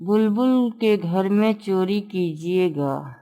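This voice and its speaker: A woman voicing a scheming, sweet-talking female bird in a children's cartoon, speaking politely and deliberately. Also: mid-range voice, animated, warm tone. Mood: sly, coaxing.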